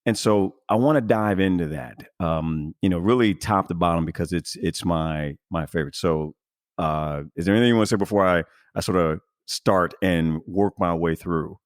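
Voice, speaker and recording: A man with a deep voice hosts a casual interview podcast. He talks effusively and rambles through his thoughts. The sound is dry, with very little room. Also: normal speed, dry recording. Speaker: podcast host.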